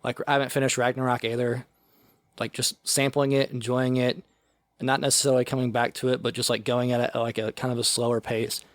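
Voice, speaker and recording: A man's voice: medium pitch, flat delivery, fast, no room sound. Laid-back man rambling with lots of "like". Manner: rambling, filler-heavy, often says "like". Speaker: podcast co-host. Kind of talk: casual chat.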